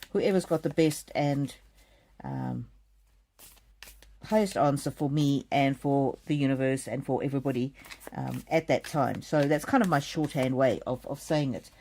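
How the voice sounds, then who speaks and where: A soft-spoken middle-aged woman from South Africa hosts a live oracle card reading. Her voice sits low and mellow, and she talks in a gentle, soothing way straight to each viewer. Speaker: card reader.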